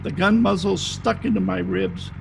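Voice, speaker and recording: A man's voice: high voice, moderate pace, creaky, background noise. A man playing a tough, wisecracking private detective in an old-time radio style, talking in clipped slang. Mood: wry narrating. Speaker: amateur radio play actor. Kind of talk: hardboiled 1940s radio detective acting. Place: at home, recorded over video call.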